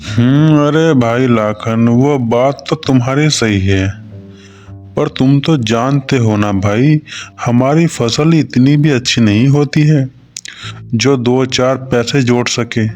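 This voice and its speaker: A man's voice: mid-range voice, slow, a little mellow, lightly acted. A man voicing a poor village farmer and husband in a moral story cartoon. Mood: reluctant. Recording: music under the voice.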